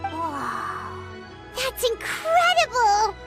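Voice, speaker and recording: Squeaky, tiny, excitable fairy companion. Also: female voice, drawn-out words, vocal fry, faint music behind, full character voice. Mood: awed, excited. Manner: childlike, perky, speaks of self in third person. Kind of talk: English video game voice acting.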